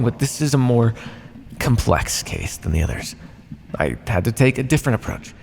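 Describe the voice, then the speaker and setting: Man acting as male vampire captor, sardonic, conversational, lively intonation, fry-edged voice, ASMR roleplay. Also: mid-range voice. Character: teasing, menacing male vampire captor, centuries old scientist. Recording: long hall reverb, faint background noise.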